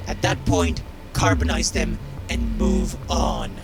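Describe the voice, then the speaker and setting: Very deep gravelly callous sergeant. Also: male voice, big pitch swings, slow, background noise, exaggerated voice. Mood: cold, blunt. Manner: blunt, mocking, commanding. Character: gruff, foul-mouthed, callous male military medical sergeant. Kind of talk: game character dialogue.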